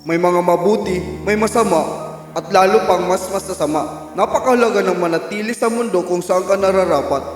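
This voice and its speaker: A man voicing a solemn, wise mentor in a fairy-tale cartoon, speaking gravely in a very echoey, distant recording. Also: high-pitched, moderate pace, soft background music, natural voice. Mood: solemn, cautioning. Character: solemn wise male mentor giving warnings. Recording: very echoey, long reverb, distant.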